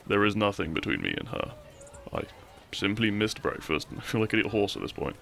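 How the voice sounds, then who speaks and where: A man playing a lovestruck, cheeky young bandit outlaw in an intimate romantic audio roleplay, soft and conversational, with a self-deprecating streak. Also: fairly low pitch, big pitch swings, fairly quick, gravelly, full character voice. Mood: flustered, teasing. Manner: soft, conversational, with sighs and chuckles.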